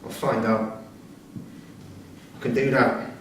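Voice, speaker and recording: A man's voice: medium pitch, fairly even intonation, takes it slowly, a bit bright. An actor playing a hard, confrontational, scornful man in a staged reading of a play. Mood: bitter, vengeful. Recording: distant mic in echoey room, noisy.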